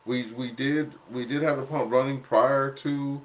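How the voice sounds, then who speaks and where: A man's voice: mid-range voice, steady tone, a little slow, poor audio. A man chatting casually on an amateur radio net, explaining things in a rambling, folksy, plain-worded way. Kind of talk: casual chat.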